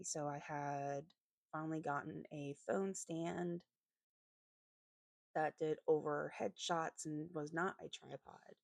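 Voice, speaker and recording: A woman with a slightly raspy voice giving a casual craft voiceover, rambling hesitantly and often correcting herself as she goes. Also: low-pitched, darkish tone, no echo. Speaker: planner vlogger and host.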